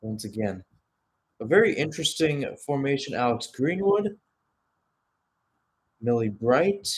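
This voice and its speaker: A man's voice: medium pitch, expressive, unhurried. Male football play-by-play commentator. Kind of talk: live radio-style sports commentary.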